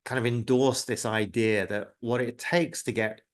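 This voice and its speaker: A man's voice: medium pitch, fairly even intonation, normal speed. A man in his forties who grew up in the UK, giving a live webinar presentation in a conversational way with many filler words and a self-deprecating tone.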